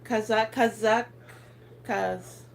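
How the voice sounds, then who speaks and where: A woman's voice: medium pitch, steady tone, very slow, creaky. Woman, 30s, accented English, casual phone livestream host, chatty and giggly, trips over words. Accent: non-native English accent. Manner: chatty, rambling, laughs often, stumbles over words. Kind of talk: casual live chat reading viewer comments.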